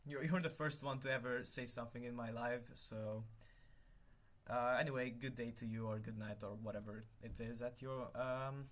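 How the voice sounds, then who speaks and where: A man's voice: mid-range voice, fairly even intonation, normal speed, fairly bright tone. A young man whose first language is Hungarian, chatting casually on a live stream while he works, rambling and correcting himself often with many fillers. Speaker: livestreamer.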